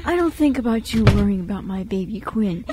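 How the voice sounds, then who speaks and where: A woman's voice: medium pitch, lively intonation, a little fast, very noisy. A young woman chatting casually in a radio studio as one of the show's writers, playful and mock-defiant. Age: young adult. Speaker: scriptwriter on the radio show. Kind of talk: casual group banter.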